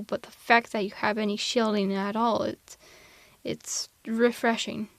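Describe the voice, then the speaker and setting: A woman playing a sardonic telepathic supervillain with a hidden tender side, in a theatrical, deliberate delivery for an ASMR roleplay audio drama. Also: on the high side, no echo.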